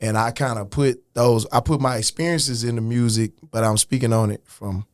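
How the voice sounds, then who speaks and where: A man in an interview chair talks in a relaxed, frank and profane way, often restarting his sentences. His voice sits in an ordinary middle register. The sound is close and dry, with no room around it. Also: mid-range voice, normal speed, no echo. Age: adult, older than his early years. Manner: laid-back, frank, profane, restarts and "you know what I'm saying". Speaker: rapper guest. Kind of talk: casual podcast interview.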